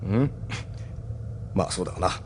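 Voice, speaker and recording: A fast-talking, pragmatic ship captain , played by a male actor. He is blunt and commanding yet reassuring, in an audio drama style, with faint noise behind him. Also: darkish tone, lightly acted. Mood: firm, reassuring. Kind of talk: Japanese audio drama acting.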